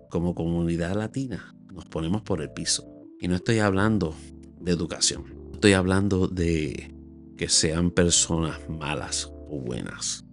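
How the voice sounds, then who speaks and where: Earnest older Puerto Rican man, close mic. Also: sits low. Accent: Puerto Rican Spanish. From Puerto Rico. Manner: earnest, scolding, emphatic, talks with his hands. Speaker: YouTube commentator. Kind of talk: opinionated solo commentary. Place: small room at home, indoors. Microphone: large studio mic on a boom, close up.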